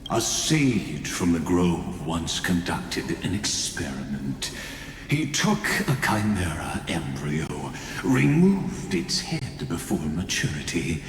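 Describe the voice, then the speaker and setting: In a long, echoing hall over clear music, a godlike mastermind villain from a video game holds forth. His voice is very low, and he is grandiose and deliberate, coldly courteous as he mocks and threatens. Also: exaggerated voice. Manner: slow, grandiose, deliberate, mockingly polite.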